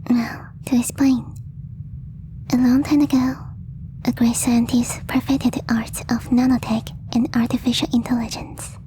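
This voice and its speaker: Gentle alien girl female performer, ASMR roleplay: breathy whisper, even melody, soft mellow tone, shyly formal and slightly stilted delivery. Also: on the high side, a little slow, slight background noise, close to an everyday voice, rough recording. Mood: gentle, earnest explaining. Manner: hesitant, apologetic, formal slightly stilted phrasing. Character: gentle, devoted young female alien posing as exchange student.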